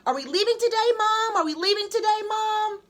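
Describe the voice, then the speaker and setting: A woman's voice: extremely high pitch, a little fast, fairly bright tone, pitched above the performer's own voice. A woman voicing an eager, boastful young boy in a children's storybook, exclaiming like a know-it-all. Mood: excited, impatient.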